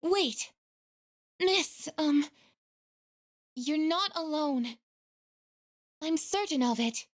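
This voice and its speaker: A woman voicing a gentle, earnest young female android in a video game, with measured, formal, softly hesitant phrasing. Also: high voice, lively intonation, exaggerated voice. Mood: urgent concern. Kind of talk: English video game voice acting.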